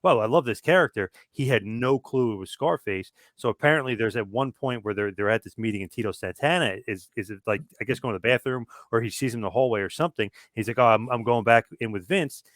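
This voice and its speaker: A man's voice: mid-range voice, fast, hardly any pauses, a bit bright, no echo, clean studio sound. A man co-hosting a podcast who tells a story in casual chat. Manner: storytelling, quoting others in asides. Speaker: podcast co-host.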